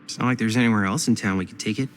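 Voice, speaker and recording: Earnest, hesitant young American brother played by actor. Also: male voice, fairly low pitch, rapid-fire, lightly acted. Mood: casual. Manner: hesitant, casual, eager to please. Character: earnest, nervy younger brother, teenage amateur filmmaker. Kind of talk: naturalistic video game drama acting.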